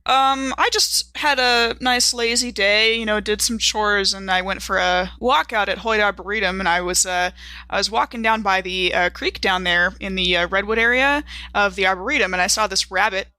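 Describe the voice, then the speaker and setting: American lady chatting w friends, bright forward voice, close dry mic, telling silly stories on herself. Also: medium pitch, fairly even intonation, moderate pace, no echo. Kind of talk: casual chat, anecdotes.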